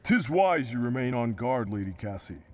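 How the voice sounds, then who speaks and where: A man playing a gravely courteous sage guide in an audio drama, with formal, old-fashioned diction. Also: medium pitch, very animated, moderate pace, darkish tone, natural voice. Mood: grave, instructive. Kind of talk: theatrical audio drama acting.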